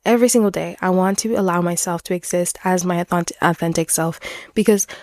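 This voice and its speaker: A woman in her twenties whose first language is French talks alone as a podcast host. Her voice sits fairly low and is recorded close and dry. She is chatty and earnest, speaking candidly with a self-deprecating streak. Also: a little fast, darkish tone, no echo. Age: 20s. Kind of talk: candid solo podcast monologue, casual. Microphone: close podcast microphone.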